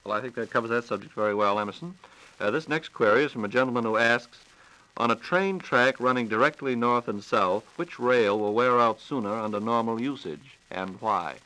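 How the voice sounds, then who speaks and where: Man, bright forceful voice, medium pitch, polished formal radio announcer, courteous, 1940s vintage broadcast recording. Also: a little fast, poor audio. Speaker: radio program host and announcer.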